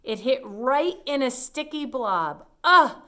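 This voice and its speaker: A woman reads a children's picture book aloud in an expressive storytelling style. She steps out of the text with simple asides that explain what is going on. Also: mid-range voice, normal speed.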